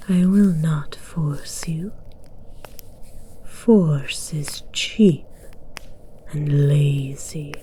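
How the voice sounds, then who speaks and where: A woman voicing an imperious, seductive dragon queen in a slow ASMR roleplay, regal and commanding in a breathy, deliberate whisper. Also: sits low, dark tone, slight background noise. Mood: seductive, menacing.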